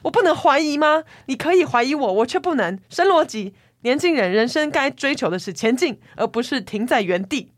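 一位女性演繹悲憤又愛說教的中年咖啡店老闆娘，口吻像鄉土劇般誇張。. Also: female voice, high voice, animated, fairly quick, hardly any pauses, dry recording, clean studio sound, pitched above the performer's own voice. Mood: indignant, preachy. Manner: melodramatic, preachy, soap-opera delivery. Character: indignant middle-aged female cafe boss, melodramatic and wounded.

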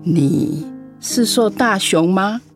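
Worried, scolding older friend. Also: female voice, mid-range voice, expressive, slow, faint music behind. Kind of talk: Mandarin radio drama acting.

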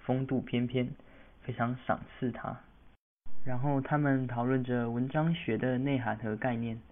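A man's voice: mid-range voice, fairly even intonation, measured pace, narrow, tinny audio. A teenage young man narrating a scripted story for a student radio drama. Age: teens.

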